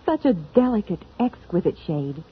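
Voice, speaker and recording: A woman's voice: on the low side, big pitch swings, normal speed, breathy edge, heavily acted. A woman playing a cheerful, gushing young woman in a 1940s radio advert. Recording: tinny 1940s radio broadcast.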